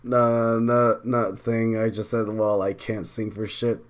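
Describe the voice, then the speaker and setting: Laid-back podcast co-host on a voice call. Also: male voice, on the low side, completely flat delivery, slow. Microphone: online voice call.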